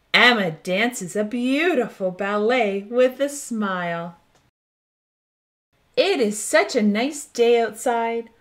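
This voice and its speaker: A woman hosting a children's storytime, reading a picture book aloud in an expressive, deliberate way. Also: a little high. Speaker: host of children's read-aloud show.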